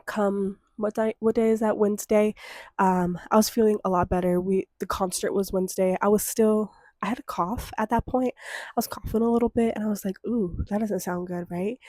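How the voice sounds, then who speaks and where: A woman's voice: medium pitch, a little mellow, no echo. A young woman hosting her own podcast, talking in a loose, rambling way with lots of ums and likes, her voice a bit stuffy from a cold with a lingering cough. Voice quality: slightly congested. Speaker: podcast host. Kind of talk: casual solo podcast chat.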